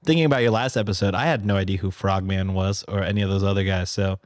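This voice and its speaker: A man's voice: low-pitched, fairly even intonation, fast, no room sound, clean studio sound. A man co-hosting a podcast with casual banter in a wry, teasing, sweary manner. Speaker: podcast co-host.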